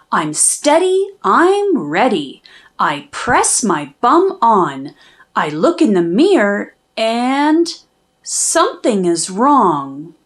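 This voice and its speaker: Woman reading aloud, high and swooping. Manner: expressive, dramatic emphasis. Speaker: children's book narrator, channel host. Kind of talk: storybook read-aloud, rhyming verse.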